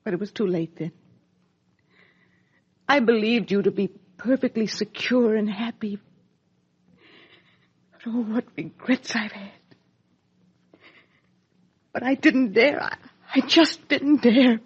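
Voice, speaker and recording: Muffled 1950s radio drama, remorseful middle-aged mother, husky voice, earnest halting confession, theatrical soap style. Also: on the high side, exaggerated voice. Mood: regretful. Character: regretful older actress confessing to her abandoned child. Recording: dull lo-fi 1950s radio recording.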